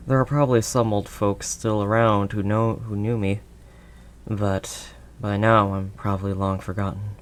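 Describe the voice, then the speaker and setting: A man playing a protective older male werewolf loner, gentle and conversational, in an intimate audio roleplay. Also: lowish voice, little pitch movement, moderate pace, close to an everyday voice. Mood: wry, amused. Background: rain ambience sound effects.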